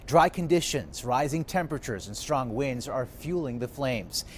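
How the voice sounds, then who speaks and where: A man anchors a newscast. His voice sits at a medium pitch as he reads from a script. A low rumble of noise runs faintly underneath. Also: lively intonation, moderate pace. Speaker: news anchor. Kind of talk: scripted news reading.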